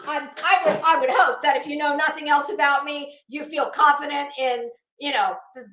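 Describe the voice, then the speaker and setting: A woman's voice: high-pitched, fairly bright tone. A middle-aged woman giving an unscripted, rambling commentary rant in a sardonic, scornful, sweary manner. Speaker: online commentary vlogger. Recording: echoey room.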